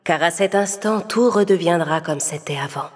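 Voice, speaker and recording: A woman voicing a kind fairy godmother in a children's story, soft and reassuring. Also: on the low side, moderate pace, long reverb tail, over loud music, close to an everyday voice. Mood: gentle, cautioning.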